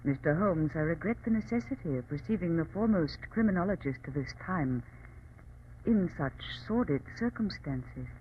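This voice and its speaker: An actress playing a proud, impassioned Chinese woman revolutionary in an old radio drama, formal and imperious, with a husky voice. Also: fairly low pitch, expressive, moderate pace, slight background noise, lightly acted. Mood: cool, imperious. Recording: muffled old radio recording.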